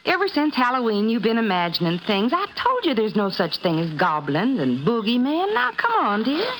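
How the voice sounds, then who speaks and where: A woman's voice: fairly high pitch, huge pitch swings, normal speed, a bit bright. An actress playing an impatient but coaxing young mother in a 1950s radio drama, emphatic and motherly. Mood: coaxing, reassuring. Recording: noisy vintage radio recording.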